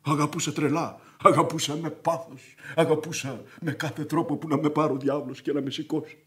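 A male actor plays a hot-tempered middle-aged gentleman in a radio comedy. His voice sits fairly high. He declaims grandly, bellowing and sneering with theatrical sarcasm. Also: fairly even intonation, close to an everyday voice. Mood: scornful, declaiming. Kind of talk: theatrical radio play acting.

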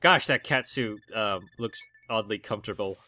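A hoarse young man giving goofy, excitable commentary over his gameplay, full of ums and likes. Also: lowish voice, normal speed. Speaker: let's play gamer. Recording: game music behind voice.

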